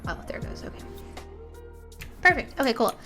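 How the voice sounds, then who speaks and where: A woman's voice: fairly quick, over music. A young woman from the United States hosting a casual streamed interview, chatty and giggly with lots of fillers. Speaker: podcast host.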